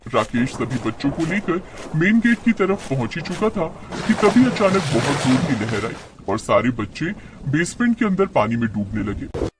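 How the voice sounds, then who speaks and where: A male storyteller narrates a scripted tale with lively, swinging intonation. His voice sits at a medium pitch, with faint noise behind it. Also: moderate pace.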